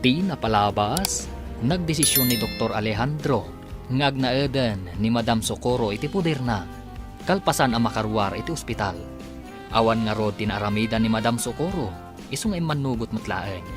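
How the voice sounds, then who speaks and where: A man working as a radio drama narrator and announcer, reading scripted narration in a measured, dramatic way. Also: mid-range voice, expressive. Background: clear background music.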